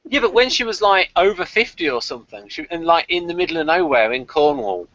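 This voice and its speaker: Lively man, higher-pitched, wry. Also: fairly quick, highs cut off. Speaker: podcast co-host. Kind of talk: casual review chat.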